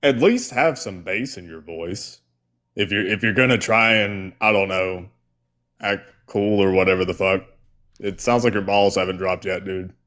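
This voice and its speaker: A man's voice: low voice, moderate pace, a bit bright. A man co-hosting a casual live stream, rambling with many fillers and restarts. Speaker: co-host.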